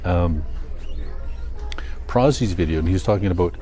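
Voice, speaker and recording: An older man with a Canadian accent, chatting casually in a rambling vlog, thinking aloud in a halting, self-correcting way. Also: deep voice, mellow, faint music behind. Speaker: vlogger.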